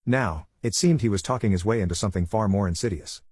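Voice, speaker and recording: A man's voice: lowish voice, quick pace, darkish tone, breathy edge, no room sound. A man reading a scripted dramatic story as its narrator.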